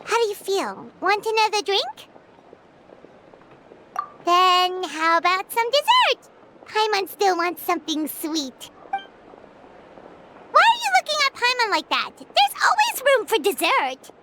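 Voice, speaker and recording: A woman voicing a tiny hungry fairy companion who chatters excitably and talks about herself in the third person. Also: very high voice, measured pace, long pauses, noisy background, heavily acted. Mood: excited. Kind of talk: game dialogue.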